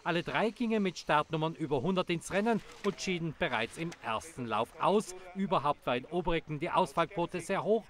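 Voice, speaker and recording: A male sports reporter narrates a ski race report in a formal, measured voice-over. His voice sits a little higher than most men's. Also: a bit bright. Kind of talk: scripted news narration.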